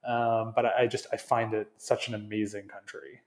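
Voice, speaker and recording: A man's voice: lowish voice, level tone, normal speed. A man with a slight Canadian accent touched with New England, a marketing executive chatting earnestly as a podcast guest, often restarting phrases. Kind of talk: casual interview chat.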